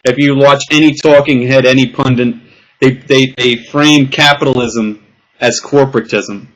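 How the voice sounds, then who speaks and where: A man's voice: medium pitch, steady tone, moderate pace. A man hosting a casual panel discussion, asking long, wordy questions and teasing his guests. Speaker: podcast host. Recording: dull lo-fi sound.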